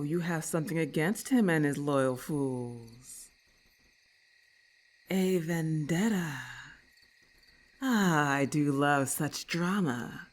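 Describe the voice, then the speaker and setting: A woman voicing a haughty, irritable lamia in an ASMR roleplay, with hissing, imperious and formal speech, scornful and menacing. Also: sits low. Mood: intrigued.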